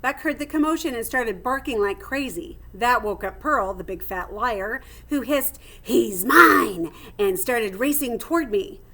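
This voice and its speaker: A woman reading a children's storybook aloud like a teacher, with theatrical expression and clear phrasing. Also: fairly high pitch, animated, moderate pace, hardly any pauses, a little hiss or hum.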